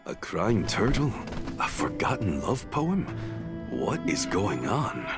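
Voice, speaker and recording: Low, skeptical adventurer. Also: male voice, sing-song, slow pace, full character voice. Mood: bewildered. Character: skeptical, dry, matter-of-fact male adventuring companion. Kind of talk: video game voice acting. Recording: game music behind the voice.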